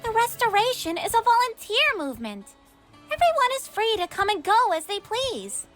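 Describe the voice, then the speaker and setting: A very high, lively young cartoon heroine, voiced by a woman. She sounds earnest and friendly as she explains, with music behind her. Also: moderate pace, exaggerated voice. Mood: firm, earnest. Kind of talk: comic dub voice acting. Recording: music under the voice.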